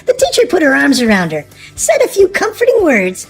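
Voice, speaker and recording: A woman reading a children's storybook aloud in an expressive, animated way. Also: sits high, a little fast, soft background music. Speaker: storybook narrator.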